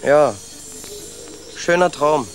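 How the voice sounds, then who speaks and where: An actor playing a plucky, loyal rat who leads and narrates in a children's radio play. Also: male voice, on the high side, extremely slow, music in the background. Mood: insistent. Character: bossy, plucky male young rat, loyal older brother.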